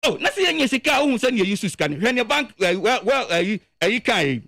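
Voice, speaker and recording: A man with a Ghanaian accent giving animated political commentary on the radio, emphatic and full of repeated words. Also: very high voice, rapid-fire, vocal fry, no echo.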